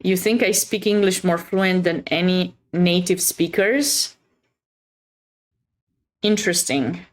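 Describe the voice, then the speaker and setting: A woman's voice: fairly low pitch, slow pace. A woman with a Romanian accent hosting a chess livestream, chatting casually and expressively with viewers between bits of commentary.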